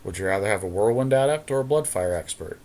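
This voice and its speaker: A man with a fairly low voice chats casually as a podcast co-host. He thinks aloud through his options in a card game, talking each one over in conversational style. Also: expressive, quick pace, darkish tone. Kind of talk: casual chat while drafting a card game.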